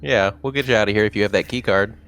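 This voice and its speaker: A man's voice: fairly low pitch, very fast, a bit bright, clear, resonant tone. A man chatting casually as a player in a tabletop game, offhand and given to swearing. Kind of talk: casual chat.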